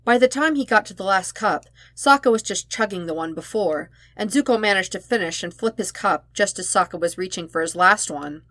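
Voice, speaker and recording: A woman's voice: mid-range voice, lively intonation, fairly quick, few pauses, a bit bright, no room sound. A woman reading scripted fiction expressively as an audiobook-style narrator. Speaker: podfic narrator.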